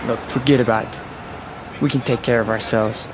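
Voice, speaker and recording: A man's voice: medium pitch, fairly even intonation, normal speed, noisy background, lightly acted. An actor playing a bitter, proud young male street agitator in a radio drama, intense and brooding. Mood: defiant. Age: young adult.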